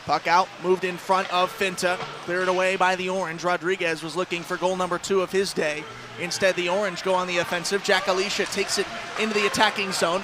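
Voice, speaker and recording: A man doing live radio play-by-play commentary of an ice hockey game, describing the action in rapid detail and lifting his voice with the action. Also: sits high, level tone, noisy background. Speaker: play-by-play sports announcer.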